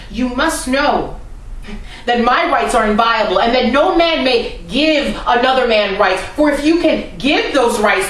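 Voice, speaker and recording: Woman acting a high-voiced, defiant courtroom defendant, distant mic. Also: fairly bright tone. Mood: grave conviction. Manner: deliberate, oratorical, with weighted pauses. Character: defiant, oppressed defendant addressing a court with solemn conviction. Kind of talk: dramatic courtroom monologue reading. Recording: roomy, distant mic with faint noise.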